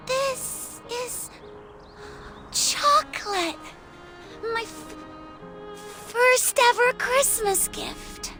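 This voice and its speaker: An actress playing a sweet, innocent and excitable little girl in a video game, halting and wide-eyed. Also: very high voice, exaggerated voice. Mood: delighted, amazed.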